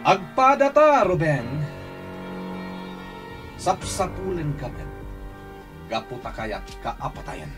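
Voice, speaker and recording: A man's voice: fairly high pitch, huge pitch swings, takes it slowly, pauses often, music in the background, exaggerated voice. Menacing Filipino gunman, wildly swinging delivery. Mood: taunting. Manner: theatrical, taunting, swinging from mutter to shout. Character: menacing armed male fugitive hunting his rival. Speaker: radio drama actor. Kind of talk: Ilocano radio drama acting.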